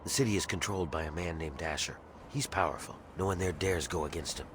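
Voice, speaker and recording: A low-pitched, gruff game character, played by a man as an embittered slave plotting revolt. He talks in a conspiratorial, matter-of-fact way, with clear ambient noise behind him. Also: fast talker, natural voice. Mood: grim, determined. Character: grim embittered rebel slave man scheming for freedom. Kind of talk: video game character dialogue.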